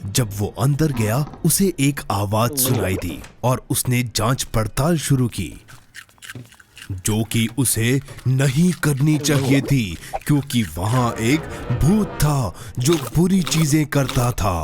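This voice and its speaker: Slow, expressive bedtime storyteller. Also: male voice, mid-range voice. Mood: suspenseful storytelling. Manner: gentle, patient, reassuring. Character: patient adult male bedtime storyteller in cartoon. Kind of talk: children's bedtime storytelling, cartoon dub. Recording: clear music behind the voice.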